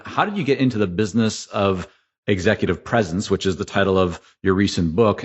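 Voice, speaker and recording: A man hosting a podcast, asking long, winding questions of his guest. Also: lowish voice. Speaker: podcast host. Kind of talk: interview questions. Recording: dull, muffled sound.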